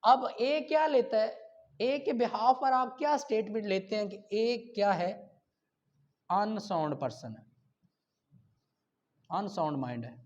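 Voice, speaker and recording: Male law lecturer, Pakistani accent, high voice, didactic delivery that checks for understanding, on a dull lo-fi recording. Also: animated, a little slow, long pauses, darkish tone. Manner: didactic, checks understanding often, switches between Urdu and English. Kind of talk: classroom lecture, reading statutes aloud and explaining them.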